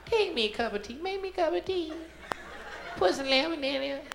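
A man's voice: very high voice, lively intonation, a little fast, vocal fry, pitched above the performer's own voice, heavily acted. A man voicing a fussy, bewildered old grandmother who repeats her questions and scolds, in a stand-up routine. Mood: querulous, demanding. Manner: repetitive, querulous questioning. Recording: echoey live stage, loud crowd, noisy.

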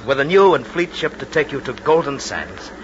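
A man playing a cruel, fawning emperor and son in an old-time radio drama, with theatrical, cloying and deliberate delivery. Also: medium pitch, very animated, natural voice. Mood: silkily affectionate. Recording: dull, hissy old radio recording.

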